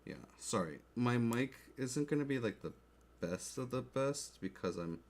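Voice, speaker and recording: A man's voice: mid-range voice, animated, measured pace. A man livestreaming as he builds a keyboard, chatting casually and checking in with his viewers over a desk mic. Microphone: desk mic close to the keyboard. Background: faint keyboard clicks and typing.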